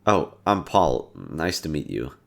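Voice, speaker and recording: A young man in an audio roleplay, talking to a listener in a soft-spoken, laid-back and teasing way. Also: extremely low pitch, big pitch swings, measured pace, gravelly. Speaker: voice actor.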